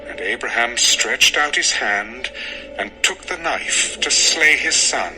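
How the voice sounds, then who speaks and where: A man narrating a dramatized scripture text in a solemn, measured, formal style. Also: mid-range voice, expressive, sharp tone, low-quality recording. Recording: music under the voice.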